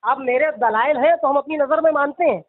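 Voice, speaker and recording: A man's voice: very high-pitched, a little fast, slight background noise. A man arguing in a religious debate on a phone call, firm, repetitive and objecting. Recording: tinny, band-limited phone call recording.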